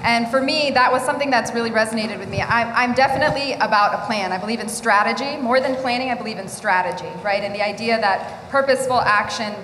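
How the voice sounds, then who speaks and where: Earnest, deliberate woman in echoey hall. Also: a little high, a bit bright. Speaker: workshop participant. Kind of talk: reflective group discussion. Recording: echoey hall with faint ambient noise.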